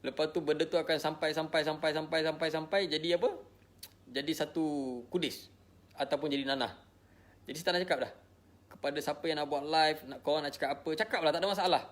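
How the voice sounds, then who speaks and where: Higher-voiced Malaysian man, emphatic talker. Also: fairly even intonation, fast. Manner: repetitive, emphatic, punctuated with check-in tags. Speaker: social media personality addressing followers. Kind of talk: informal live monologue to followers.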